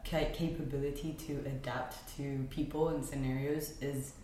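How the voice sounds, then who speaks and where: Young woman from Spain, very low voice, chatty podcast guest full of ums, booming echoey room. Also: little pitch movement, normal speed, mellow. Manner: chatty, frequent ums, laughs often. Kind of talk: casual conversational chat. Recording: very echoey room with faint noise.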